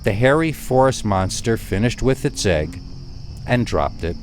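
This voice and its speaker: A man narrating a first-person horror story in a deliberate, suspenseful way with dramatic pauses. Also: lowish voice, expressive, a little hiss or hum. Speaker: narrator of scary stories.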